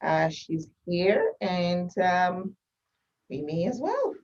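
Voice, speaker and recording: Female summit host, relaxed with many fillers, distant dull recording. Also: fairly low pitch, extremely slow. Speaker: event host. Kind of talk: hosting an online summit. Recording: dull audio from a distant mic.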